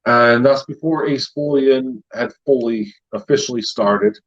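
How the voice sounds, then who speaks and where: American man, husky, rambling with fillers, middle-aged, lo-fi sound. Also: mid-range voice, a little slow. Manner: rambling, with many fillers and laughs. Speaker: YouTube channel host; retired paramedic. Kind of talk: casual live-stream chat.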